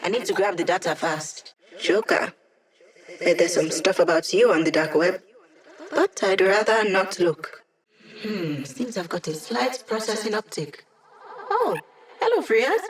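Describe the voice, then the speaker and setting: A woman's voice: medium pitch, expressive, measured pace, long pauses, natural voice. A woman playing a wry, sarcastic hacker. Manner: dry, sarcastic quips. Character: wry young female hacker support ally. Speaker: video game character. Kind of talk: video game voice lines.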